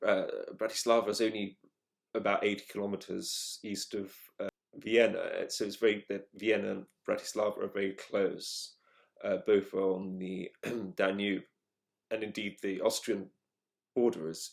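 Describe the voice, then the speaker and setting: A man hosting his own show, telling a travel story in a measured, halting way as he searches for words. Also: lowish voice, flat delivery, gravelly. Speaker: podcast host. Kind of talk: solo travel monologue.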